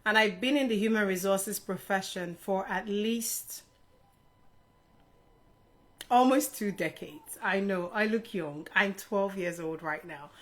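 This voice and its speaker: A woman's voice: mid-range voice, slow. A middle-aged woman from Nigeria hosting an informal live Q&A, chatting directly to her viewers as a career adviser. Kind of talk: casual live stream Q&A chat to viewers.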